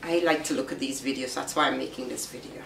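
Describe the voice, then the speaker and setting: A middle-aged woman with a slightly raspy voice from a recent virus, chatting casually as she shows what she carries to work. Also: extremely low pitch. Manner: chatty, unhurried, explains each item. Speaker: vlogger. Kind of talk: casual show-and-tell vlog. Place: small room indoors. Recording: roomy, distant camera sound with faint hiss.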